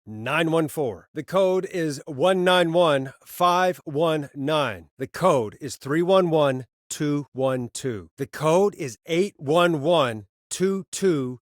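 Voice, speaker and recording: Wry late-night radio DJ, dry studio sound. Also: male voice, a little high, lively intonation, slow, hardly any pauses, no room sound. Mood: matter-of-fact. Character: Forrest Nash, wry late-night radio DJ, a grown man. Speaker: radio host. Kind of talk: video game voice acting.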